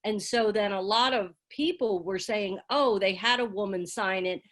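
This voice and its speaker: A woman's voice: mid-range voice, normal speed, fairly bright tone. A woman taking part in a casual panel discussion, recounting things informally. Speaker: panel member.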